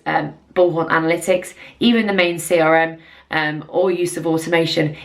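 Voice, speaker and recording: Female presenter, lowish pitch, semi-scripted software review, earnest and emphatic delivery. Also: gravelly. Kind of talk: semi-scripted explainer to camera.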